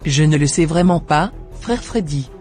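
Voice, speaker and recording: A man's voice: on the high side, lively intonation, moderate pace, darkish tone, close to an everyday voice. A man playing a frank, concerned churchgoing woman in an amateur cartoon, speaking earnestly. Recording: music behind the voice.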